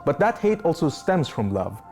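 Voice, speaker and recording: A man speaking in a group discussion, thoughtful and measured, raising questions. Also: a little high, big pitch swings, darkish tone, faint music behind. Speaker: student debater.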